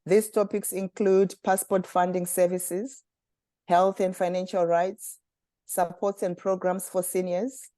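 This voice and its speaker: Formal woman host, low mellow voice. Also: little pitch movement, no room sound, studio quality. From Zimbabwe. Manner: measured, formal, reading prepared remarks. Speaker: communications director and webinar host. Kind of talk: scripted webinar introduction.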